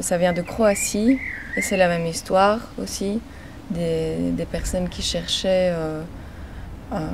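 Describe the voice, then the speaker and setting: A woman's voice: fairly low pitch, gravelly, a little mellow. Belgian woman in her forties, noisy background. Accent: Belgian French. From born in Chile, grew up in Belgium from age four. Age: 40s. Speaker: interviewee telling her family's migration story. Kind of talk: personal testimony in an interview.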